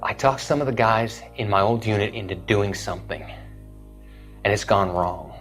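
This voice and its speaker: A man's voice: fairly low pitch, moderate pace, a bit bright, faint music behind, lightly acted. An actor playing a troubled, guilty young ex-serviceman in a video game, earnest and deferential, with an American accent. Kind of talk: video game dramatic acting.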